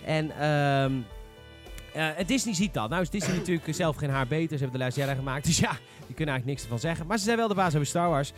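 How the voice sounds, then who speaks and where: A man hosts a casual gaming podcast. His voice is fairly high and very animated, and he talks frankly with firm opinions. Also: fast talker, soft background music. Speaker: podcast host.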